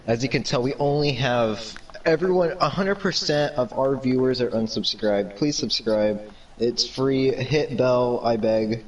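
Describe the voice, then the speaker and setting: A man's voice: mid-range voice, unhurried, recorded from a distance, highs cut off. A man co-hosting a podcast in goofy, ironic casual chat, laughing often. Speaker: podcast co-host.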